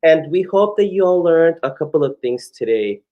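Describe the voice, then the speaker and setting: A drag queen co-hosting a children's story time, reading aloud in a theatrical, encouraging, drawn-out way with playful banter. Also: mid-range voice, expressive, dull audio.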